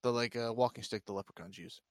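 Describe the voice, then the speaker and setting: A man's voice: medium pitch, fast, no echo, clean studio sound. A man co-hosting a podcast, chatting casually and swearing freely. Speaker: podcast co-host. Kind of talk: casual, profane banter.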